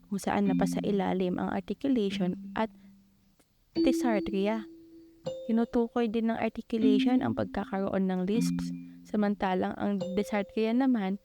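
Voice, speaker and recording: A woman voicing a shy, anxious young female student who speaks politely and haltingly with a stutter and a Filipino accent in an animated short film. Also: mid-range voice, close to an everyday voice. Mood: curious, thoughtful. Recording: music behind the voice.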